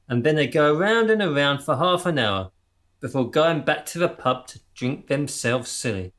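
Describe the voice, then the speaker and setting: A self-important leader of a small crop-circle gang in a radio comedy. His voice sits fairly high and swings widely, precise in delivery but prone to fluster. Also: fairly bright tone, heavily acted. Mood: flustered, indignant. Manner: stammering and spluttering when worked up, otherwise pompous and precise. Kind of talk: radio comedy drama acting.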